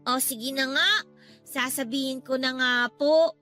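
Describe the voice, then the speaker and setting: A very high, bright little girl's voice in a radio drama. She is sweet, polite and eager, pleading like a child, with music playing behind. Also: fairly even intonation, a little slow. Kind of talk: Tagalog radio drama acting.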